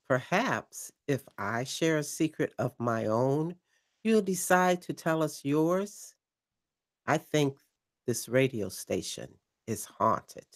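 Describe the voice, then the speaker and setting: A woman playing a slow, dramatic late-night radio host. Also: rumbling low, exaggerated voice. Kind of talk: audio drama acting.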